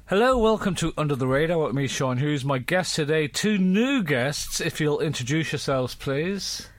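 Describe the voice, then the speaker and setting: Teasing male podcast host, lively pitch. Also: normal speed, no echo. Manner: teasing, dry. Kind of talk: casual podcast chat.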